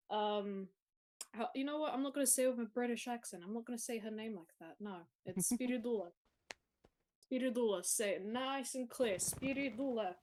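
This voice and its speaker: A woman's voice: mid-range voice, a little mellow. A young woman chatting on a live stream from home, rambling with plenty of fillers and laughs. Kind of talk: casual chat on a live stream. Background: music playing.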